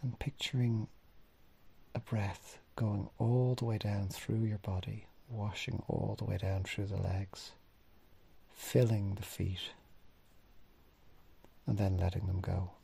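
A man with a lowish, very husky voice guides a body scan meditation. His tone is breathy and creaky, often sinking almost to a whisper at the ends of phrases. He instructs gently in short, unhurried phrases, leaving long pauses between them. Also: little pitch movement. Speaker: mindfulness meditation teacher.

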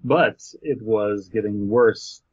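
A man's voice: fairly low pitch, slow pace, a little mellow, husky, no echo, highs cut off. A man hosting a podcast, reading a horror story aloud and wandering off into jokey asides. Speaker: podcast host.

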